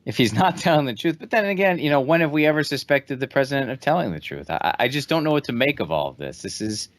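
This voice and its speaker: A man's voice: mid-range voice, big pitch swings, quick pace, no echo. A man in his thirties co-hosting a podcast in casual, conversational political commentary. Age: 30s. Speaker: podcast co-host.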